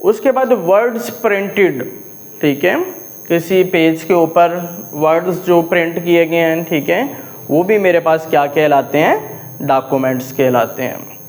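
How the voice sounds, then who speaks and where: A man with a Pakistani accent lecturing as a law teacher, explaining a text point by point and often pausing to check understanding. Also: fairly high pitch, a little mellow, low-quality recording. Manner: didactic, repeatedly checking understanding.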